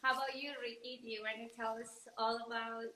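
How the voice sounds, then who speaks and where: Woman, co-host, bubbly, chatty, slightly high voice, roomy echo. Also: level tone, brisk, bright, forceful tone, a little hiss or hum. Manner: bubbly, chatty, laughs often. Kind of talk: casual live-stream chat.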